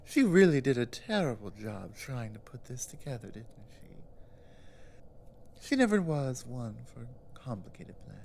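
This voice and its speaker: Woman doing a bossy giantess sorceress for asmr roleplay, really slow n deliberate, haughty, kinda whispery in spots, bit of background noise. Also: extremely low pitch, big pitch swings, darkish tone, exaggerated voice. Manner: slow, deliberate, commanding, with breathy drops into whispers. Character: powerful, haughty female giantess sorceress, suspicious and dominant. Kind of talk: ASMR roleplay, dramatic monologue.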